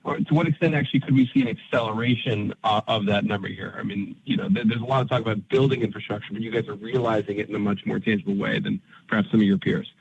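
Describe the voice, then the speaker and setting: A man's voice: lowish voice, fairly even intonation, quick pace, vocal fry, few pauses, breathy edge, muffled recording. A man, a financial analyst, asking questions in a casual, upbeat, rambling way. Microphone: phone.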